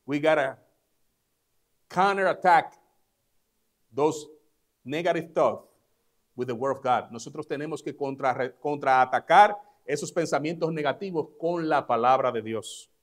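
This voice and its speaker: A man's voice: fairly high pitch, unhurried, long pauses. A male pastor, a native Spanish speaker, giving an emphatic sermon in a church service, saying each line in English and then repeating it in Spanish. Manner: emphatic, stressing key words. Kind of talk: bilingual sermon.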